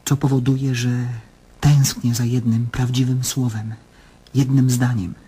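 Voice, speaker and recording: Man acting an anguished, very husky patient. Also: medium pitch, level tone, unhurried, warm tone. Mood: brooding, yearning. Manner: intense, brooding, prone to desperate outbursts. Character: anguished male patient desperately searching for truth. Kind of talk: radio drama acting.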